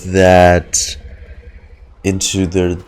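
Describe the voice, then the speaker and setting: A man's voice: low-pitched, monotone, drawn-out words, darkish tone, slight background noise. A man giving casual reaction commentary as a game streamer.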